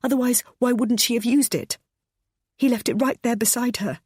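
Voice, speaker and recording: A woman voicing a frightened, tearful middle-aged mother who speaks in a high, breaking voice. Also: normal speed, darkish tone, slightly rough, dry recording, studio quality. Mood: distraught.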